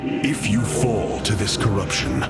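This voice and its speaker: A man playing a noble, stern alien warrior leader in a sci-fi game, grave, commanding and deliberate. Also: on the low side, natural voice. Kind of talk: scripted sci-fi game dialogue. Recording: loud music behind.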